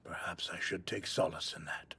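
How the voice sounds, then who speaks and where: An actor in an English anime dub playing a dignified, wry older gravekeeper with a dry, stoic manner. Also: male voice, low-pitched, fairly even intonation, normal speed, creaky, bright, forceful tone, slight background noise, exaggerated voice. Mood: wistful, gentle.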